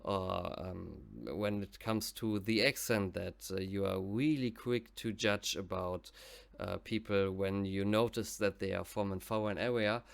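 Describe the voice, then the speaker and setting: German man, thirties, casual vlog host; fry in a resonant low voice, hesitant delivery. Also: fairly even intonation. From West Germany. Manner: halting, many fillers and restarts. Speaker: reaction channel host. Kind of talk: casual storytelling.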